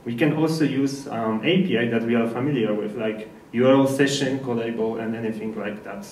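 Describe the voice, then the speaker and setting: A man from Poland with a Polish accent gives an informal technical presentation in slightly halting non-native English. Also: mid-range voice, darkish tone. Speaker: speaker at a developer meetup. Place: indoor meetup presentation room. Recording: echoey room, distant mic, faint noise.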